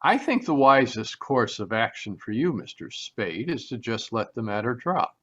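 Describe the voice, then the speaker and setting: A man acting a formal, evasive, dismissive gentleman in an old-time radio mystery, heard over a dull video call recording. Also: medium pitch, very animated, normal speed. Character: smooth, evasive man fending off a detective. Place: remote live video call performance.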